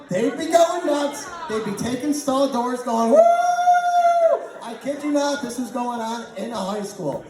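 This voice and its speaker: A young man telling a rambling, informal comedy story to a live audience, often interrupting himself. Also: high-pitched. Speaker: amateur stand-up storyteller. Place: large echoey indoor space with live audience. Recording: echoey room from far off, audience noise. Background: audience laughter and chatter.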